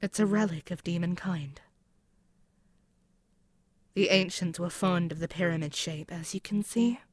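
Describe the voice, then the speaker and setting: Woman voicing a demon queen, lowish pitch, haughty, taunting, menacing, theatrical, ASMR roleplay monologue, very dry close sound. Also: unhurried, darkish tone, no echo. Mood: gloating, sinister. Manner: haughty, taunting, theatrical, dropping at times to near-whisper. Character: imperious demon queen, female, scheming, exasperated, menacing. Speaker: audio roleplay voice actor. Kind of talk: scripted ASMR audio roleplay, dramatic monologue.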